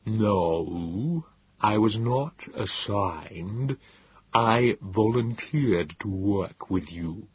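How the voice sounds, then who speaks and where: A man voicing a calm, clinical male Morlock who speaks in a slow, even, measured way. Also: on the low side, breathy edge, no echo, dull audio, heavily acted.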